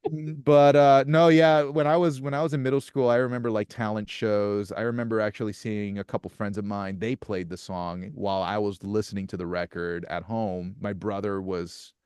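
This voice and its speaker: Thirties male guest, low and smooth, close dry mic, soft-spoken with halting restarts. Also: level tone, dry recording. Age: 30s. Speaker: musician guest. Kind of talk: casual interview chat.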